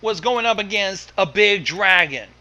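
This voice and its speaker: A man's voice: high-pitched, animated, a little slow, sharp tone. A man chatting casually as a co-commentator on a story reading. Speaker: co-commentator on a fan fiction reading. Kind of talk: casual chat.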